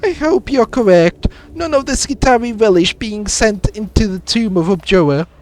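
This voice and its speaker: A man with a raspy voice plays a stern male soldier commander in clipped, grim reports. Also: high voice, animated, normal speed, a little mellow, a little hiss or hum, pitched above the performer's own voice. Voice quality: raspy from a cold.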